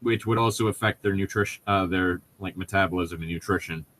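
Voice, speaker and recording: A man's voice: sits low, a little fast, a bit bright, slight background noise. A young man in casual, joking, profane chat as a co-host reading a book aloud with commentary. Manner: profane, bantering.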